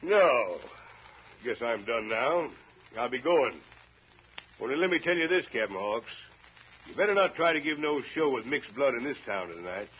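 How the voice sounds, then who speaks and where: An actor playing a stern, menacing small-town sheriff in a 1930s radio drama, blunt and drawling with an American accent. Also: male voice, medium pitch, animated, normal speed, pauses often, lightly acted. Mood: cold, warning. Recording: muffled, noisy 1930s radio broadcast recording.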